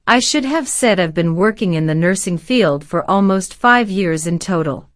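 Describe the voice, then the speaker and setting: A woman's voice: lowish voice, lively intonation, no echo. Polite woman from Syria, dry sound. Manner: polite, formal, measured replies. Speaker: citizenship applicant. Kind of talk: scripted interview answers.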